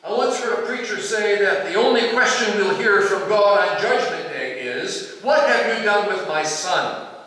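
An older man preaching a sermon as a pastor, measured and deliberate, in a church sanctuary. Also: high-pitched, lively intonation, pushed and bright. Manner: measured, deliberate, with rhetorical questions and pauses. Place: large church sanctuary, indoors. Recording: very echoey church hall, distant microphone.

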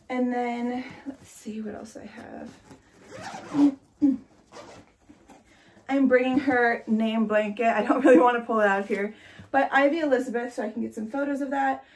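A young woman in her twenties, congested and chatty, talking to camera in a nursery room. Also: a little high. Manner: chatty, with many "like"s and light laughs. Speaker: vlogger. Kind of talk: casual chat to camera.

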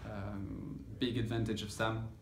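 A man's voice: fairly low pitch, flat delivery, a little slow. A man in his thirties giving an informal technical explanation as an engineer, hesitant and searching for words. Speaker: audio engineer explaining a measurement process. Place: indoor listening room with loudspeakers. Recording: roomy echo with background room noise.

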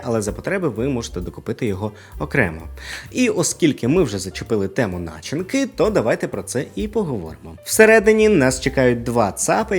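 A man's voice: mid-range voice, darkish tone, breathy edge. A man giving a conversational product review, casual in manner, with asides and rhetorical questions. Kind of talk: conversational video review to camera. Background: music.

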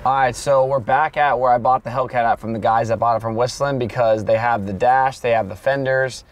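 Young man, car vlogger, casual excitable chat, handheld camera, faint music behind. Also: mid-range voice, a little fast. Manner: excitable, joking, loud laughter. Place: inside a pickup truck and outdoors at a garage.

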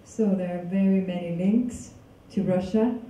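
A woman from Finland giving a public lecture in a large hall, talking in a halting, casual way with many fillers and restarts. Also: lowish voice, dark tone, slight background noise. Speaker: guest lecturer. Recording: echoey hall from far off.

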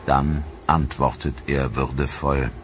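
A man narrating a history documentary in a measured, solemn, scripted style. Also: a bass voice, level tone, a little hiss or hum.

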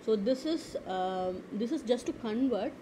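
A woman teaching a screen-recorded software lesson, explaining step by step with frequent "okay" and "uh" fillers. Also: fairly high pitch, expressive, a little slow, background noise. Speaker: tutorial instructor. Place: at a computer.

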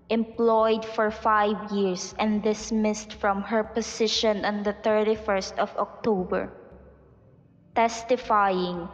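A woman playing a formal court announcer in a radio drama, reading records in an official, deliberate way. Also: medium pitch, close to an everyday voice. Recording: dull lo-fi sound, some reverb.

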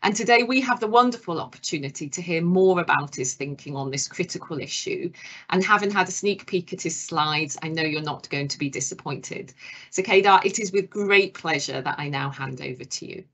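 A woman's voice: fairly low pitch, a little fast, a bit bright. Woman introducing a speaker. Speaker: webinar host. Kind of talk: formal introduction.

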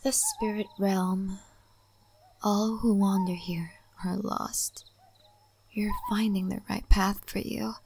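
A woman playing an ancient, wise and cryptic spirit-realm lady in a soft, breathy ASMR roleplay. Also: mid-range voice, slight background noise. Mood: calm, mysterious. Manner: soft, breathy, often whispering, unhurried.

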